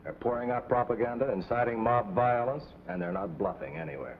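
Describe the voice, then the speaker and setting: Earnest, grim field agent played by a man, urgent briefing tone, vintage drama sound with clear noise and narrow band. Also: medium pitch, brisk, close to an everyday voice. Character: grim, worried male undercover field agent. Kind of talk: 1950s TV drama dialogue. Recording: tinny, noisy vintage TV soundtrack.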